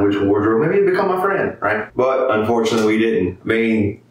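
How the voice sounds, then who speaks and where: A young man hosting a vlog, talking casually and joking from inside a car at night. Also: lowish voice. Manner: joking, swearing, taunting. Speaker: host. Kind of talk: casual road trip commentary. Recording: distant camera audio with loud noise.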